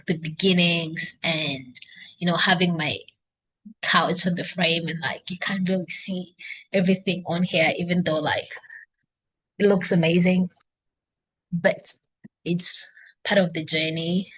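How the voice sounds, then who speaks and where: A woman's voice: lowish voice, steady tone, measured pace, fairly bright tone, breathy edge, rough recording. A young woman with a Kenyan accent talking casually to her laptop at home, thinking aloud in an unscripted, self-encouraging video diary. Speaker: video diarist. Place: indoors at home, by a couch.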